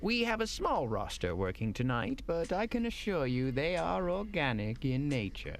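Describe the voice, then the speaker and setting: A man's voice: on the high side, very animated, normal speed, a little hiss or hum. A man playing a suave, deferential hotel manager in an audio drama, formal, courteous and theatrical. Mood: unctuous welcome.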